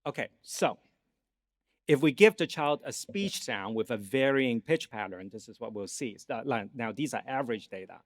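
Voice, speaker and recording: A man's voice: mid-range voice, expressive, normal speed. A man with a Cantonese accent, a native Cantonese speaker, giving an academic lecture and explaining things as he checks in with his audience. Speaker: guest lecturer, neuroscience professor. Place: conference lecture hall.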